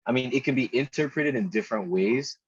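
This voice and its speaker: A young man from Nigeria, a podcast guest talking in a relaxed, chatty way, often saying "dude" and restarting his sentences. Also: mid-range voice, brisk, breathy edge, no echo. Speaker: podcast guest, product designer. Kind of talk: casual conversational interview. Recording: dull, slightly muffled podcast audio.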